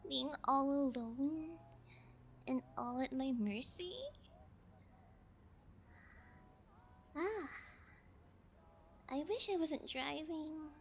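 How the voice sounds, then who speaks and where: A woman's voice: high-pitched, takes it slowly, long pauses, a little hiss or hum. A woman playing a sadistic, playful female kidnapper in an intimate ASMR audio roleplay, teasing with a sing-song menace. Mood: gloating.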